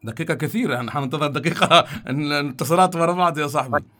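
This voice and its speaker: A man hosting a call-in radio show, friendly and hurried, repeating his greetings to callers. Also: fairly high pitch, bright, forceful tone. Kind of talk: casual call-in radio talk.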